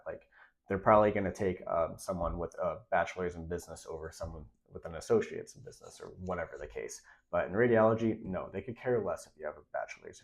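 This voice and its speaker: A young man with a stuffy, nasal voice from a recent cold gives direct, emphatic career advice in a casual vlog. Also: low voice. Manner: direct, emphatic, repeats key points. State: congested, nasal from a recent illness. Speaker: radiology tech creator giving career advice.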